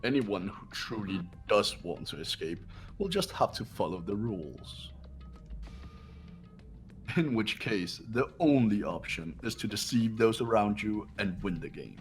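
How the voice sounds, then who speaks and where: Man as scornful male student, lowish voice, lively pitch swings, sardonic, blunt, game character. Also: measured pace, faint music behind. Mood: cynical, cold. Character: cynical, scornful male high school student. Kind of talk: amateur game voice acting.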